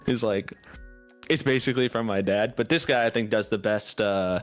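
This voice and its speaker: Male co-host, casual and chuckling, lower voice, faint music behind. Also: normal speed. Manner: easygoing, chuckling. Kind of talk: casual chat.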